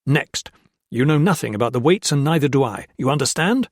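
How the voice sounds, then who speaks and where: A crooked army colonel who barks orders and threatens in a firm, mid-pitched voice, as a man puts him on. His speech is clipped and commanding, recorded dry and close. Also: lively intonation, fairly quick, dry recording, natural voice. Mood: bullying. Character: bullying male army colonel, menacing and crooked.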